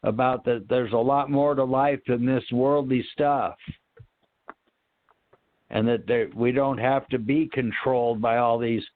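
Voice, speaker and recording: A man speaking in a halting, reflective way, searching for words and trailing off as he discusses spiritual ideas, over a narrow phone-like connection. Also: medium pitch, fairly even intonation, dry recording. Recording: narrow, tinny phone-line sound.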